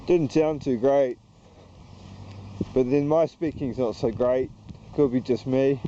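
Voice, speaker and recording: A man's voice: medium pitch, warm tone, muffled recording, slight background noise. A man with a New Zealand accent rambling hesitantly while walking around town outdoors, vlogging to the camera. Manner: hesitant, halting, slow and wandering. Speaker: YouTuber. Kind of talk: rambling walk-and-talk vlog.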